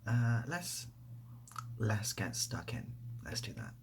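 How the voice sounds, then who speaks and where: A man speaking softly in a casual ASMR style, breathy and often dropping to a whisper, rambling hesitantly with a self-deprecating manner. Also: lowish voice, big pitch swings, takes it slowly, noisy background. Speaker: ASMR video creator and host. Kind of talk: soft-spoken ASMR, casual question-and-answer to camera.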